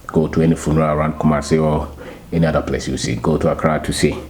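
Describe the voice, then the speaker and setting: A middle-aged man from Ghana with a Ghanaian accent, talking casually to his channel, unhurried and often repeating himself. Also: very deep voice, little pitch movement, darkish tone. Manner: unhurried, repeats words and restarts, chuckles at himself. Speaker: channel host. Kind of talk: casual talk to camera.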